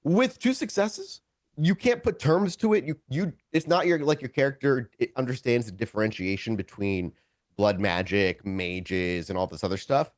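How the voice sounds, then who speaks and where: A man's voice: mid-range voice, moderate pace, no echo, studio quality. A man narrating a tabletop role-playing game as game master, improvising scene descriptions and often correcting himself as he searches for words.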